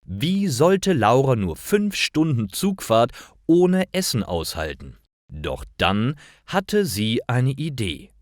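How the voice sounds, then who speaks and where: A man reading scripted stories for language learners in a careful, deliberate manner. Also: medium pitch, very animated, dry recording, studio quality. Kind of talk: scripted storytelling narration for learners.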